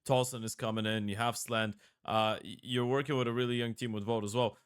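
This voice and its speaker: Male host, smooth clear voice, mid pitch, rambling self-correcting questions. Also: steady tone, a little fast. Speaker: podcast host. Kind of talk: casual interview.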